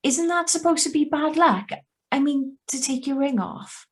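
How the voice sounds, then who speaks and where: A troubled young woman played by an actress with a fairly high voice. She is hesitant and brooding, as the heroine of a radio suspense play read live over a video call. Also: fairly even intonation, moderate pace, natural voice. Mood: polite, gentle. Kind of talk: radio drama acting with first-person narration. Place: remote, on a Zoom video call.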